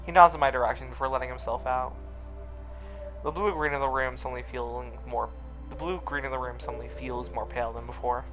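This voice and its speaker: A man's voice: mid-range voice, steady tone, fairly quick. A man reading a visual novel aloud, switching between story narration and casual asides. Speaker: reader of a visual novel let's play. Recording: music under the voice.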